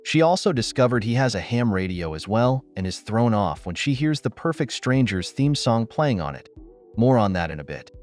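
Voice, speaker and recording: A man's voice: mid-range voice, sing-song, moderate pace, a little mellow, soft background music. A man reading a scripted recap narration as the host of a breakdown video. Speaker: host of a TV episode breakdown channel.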